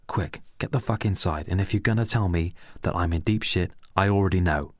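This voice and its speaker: A man voicing a stressed young man who snaps in exasperated, sharp outbursts. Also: low voice, brisk, no room sound, clean studio sound.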